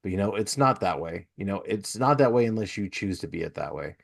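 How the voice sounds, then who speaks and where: A man with a low voice hosts a relaxed, informal interview. He talks in an earnest, conversational way and sounds encouraging as he responds to his guest. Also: a little fast, vocal fry. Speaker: podcast host. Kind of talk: casual interview chat.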